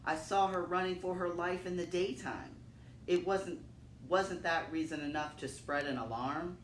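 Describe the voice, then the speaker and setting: A man's voice: high voice, fairly even intonation, a little slow, slight background noise, voice pushed up. A man voicing a defensive storybook crow, insistent and making excuses. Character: defensive male crow pleading his case. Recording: roomy, echoing, distant microphone.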